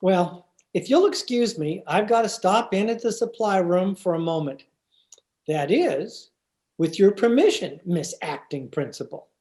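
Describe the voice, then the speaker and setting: A woman's voice: on the low side, expressive, a little slow, warm tone. A woman playing an earnest, pedantic, mild-mannered male science teacher in a 1950s-style radio comedy, speaking hesitantly. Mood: polite.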